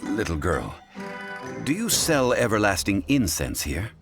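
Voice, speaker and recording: A man voicing a composed, courteous, scholarly gentleman in a video game, speaking in measured, formal, old-fashioned phrases. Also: lowish voice, very animated, over music. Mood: courteous, explaining. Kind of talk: scripted video game dialogue.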